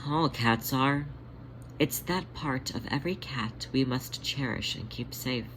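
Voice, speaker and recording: A narrator voicing a motherly female cat, gentle and reassuring, coaxing softly in an audio story. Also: mid-range voice, measured pace, slight background noise, poor audio. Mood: tender. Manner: soft, soothing, coaxing.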